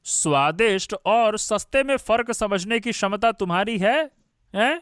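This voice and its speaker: Guy doing a snobby scheming rich son for a cartoon, high pitched, sneery. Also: measured pace, fairly bright tone, clean tone, no room sound, studio quality. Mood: sneering. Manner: sneering, contemptuous. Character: arrogant, plotting grown son of a rich family. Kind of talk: Hindi cartoon moral-story dubbing.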